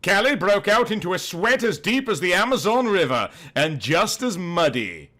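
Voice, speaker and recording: A man's voice: high-pitched, sing-song, moderate pace, pushed and bright. A man narrating a comic audiobook in a mock-serious, theatrical, overemphatic way.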